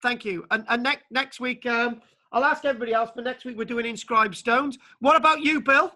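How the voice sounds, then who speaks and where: A man's voice: very high voice, steady tone, a little fast, bright, forceful tone. A man lecturing over a video call, stammering and repeating words, with many fillers as he leads a discussion. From Wales.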